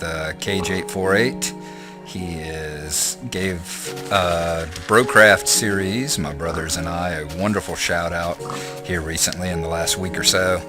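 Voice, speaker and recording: A hoarse man rambling folksily through a casual gameplay vlog, full of fillers. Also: low-pitched. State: hoarse from a chest cold. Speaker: channel host.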